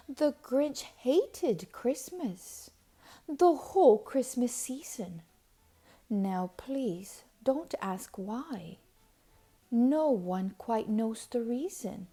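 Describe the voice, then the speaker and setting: A woman reads a children's storybook aloud with plenty of expression. Her voice is fairly high and soft-edged, and she takes her time, delivering lines very slowly with deliberate pauses and dramatic emphasis. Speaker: storybook reader.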